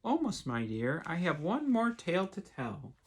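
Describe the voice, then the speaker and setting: A patient mother bird in a storybook, voiced by a man reading aloud. She gently teaches her child, with lively intonation and a slightly husky tone. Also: fairly high pitch, normal speed, full character voice, poor audio. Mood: gently firm. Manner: patient, instructive.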